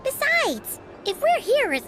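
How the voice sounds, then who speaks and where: A woman voicing a tiny, childlike, excitable fairy companion in a video game, with a squeaky, cutesy voice. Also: a little slow, heavy background noise, exaggerated voice. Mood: excited, eager. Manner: excitable, refers to herself in third person. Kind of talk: scripted video game character acting. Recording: music clearly behind the voice.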